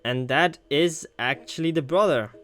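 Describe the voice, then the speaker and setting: A man doing animated let's play commentary, exclaiming, swearing and stretching words for emphasis. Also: a little high, normal speed, faint music behind. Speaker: gaming streamer reading a visual novel aloud.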